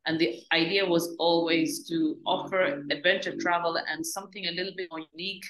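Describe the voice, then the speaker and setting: Low-voiced woman in a roomy call. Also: brisk. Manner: relaxed, loose run-on phrasing. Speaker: tour company co-founder. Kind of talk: video call interview. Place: room at home. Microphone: video call. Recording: roomy video call audio.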